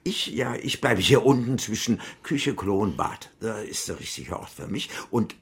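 An actor playing a meek, ailing older widower in a radio play, raspy and breathy, speaking haltingly and rambling gratefully. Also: male voice, lowish voice, lively intonation, full character voice. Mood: halting, eager. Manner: halting, hesitant. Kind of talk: radio drama acting.

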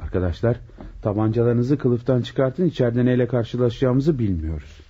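Dull noisy radio recording, male actor as police inspector, dark voice, commanding, deliberate, radio drama. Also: mid-range voice, close to an everyday voice. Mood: commanding, urgent. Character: dutiful male police inspector leading a murder case.

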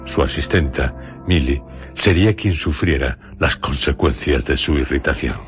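A man narrating a radio drama in a measured, suspenseful storytelling manner. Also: extremely low pitch, soft background music.

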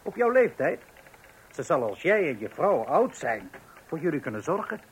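An actor playing a tactless, pedantic man in a radio play, deliberate and fussy, often repeating his own words. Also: a little high, big pitch swings. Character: tactless, pedantic male acquaintance, fussily doing sums. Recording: noisy old radio play recording.

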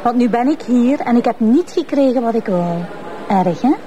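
A woman's voice: fairly high pitch, animated, moderate pace, warm tone, muffled recording, slight background noise, close to an everyday voice. A woman playing a scornful, defiant young woman.

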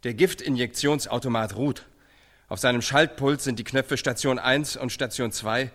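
An actor playing a prison inmate who recites hard facts in a declamatory, clipped way in a radio drama. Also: male voice, mid-range voice, moderate pace, a bit bright. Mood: grave, factual. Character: male prison inmate performing in a documentary stage play.

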